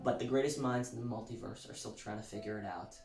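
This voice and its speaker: An actor playing a showy, self-satisfied celebrity musician, delivering a gushing, theatrical sales pitch with dramatic emphasis. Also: male voice, fairly even intonation, fast talker, darkish tone, close to an everyday voice. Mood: breezy salesmanship. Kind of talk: theatrical infomercial-style pitch in an audio drama. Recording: roomy echo, music underneath.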